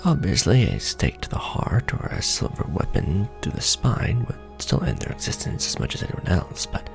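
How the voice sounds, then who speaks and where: A man playing a courtly, ancient vampire lord in an ASMR roleplay, speaking intimately and formally in a gravelly, often breathy half-whisper with measured pauses. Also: low voice, huge pitch swings, full character voice. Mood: explaining, solemn. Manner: formal, archaic wording, measured delivery with pauses. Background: music.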